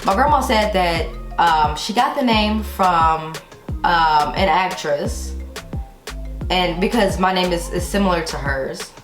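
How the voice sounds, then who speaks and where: A woman's voice: fairly low pitch, takes it slowly. An American woman in her thirties chatting casually to her viewers as a vlogger, conversational with playfully emphatic asides. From Texas, United States. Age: 30s. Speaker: YouTube vlogger. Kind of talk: casual chatty question-and-answer to camera. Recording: roomy echo with music behind. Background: background music.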